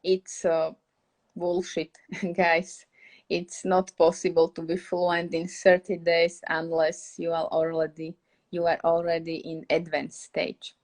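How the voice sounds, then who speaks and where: A woman's voice: lowish voice, completely flat delivery, slow pace, a little mellow. A young woman from Slovakia with a Slovak accent, casually teaching English on a live stream, chatty and laughing often as she searches for words.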